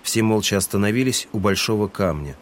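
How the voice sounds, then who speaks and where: A man's voice: fairly low pitch, expressive, fairly quick, slight background noise. A man reading scripted literary narration for an audio drama.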